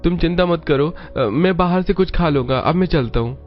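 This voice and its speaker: Fields: Figure: a man voicing a gentle young husband. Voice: slightly high. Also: normal speed, close to an everyday voice. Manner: soft-spoken, placating. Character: young office-worker husband, gentle and resigned. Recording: dull lo-fi with faint music.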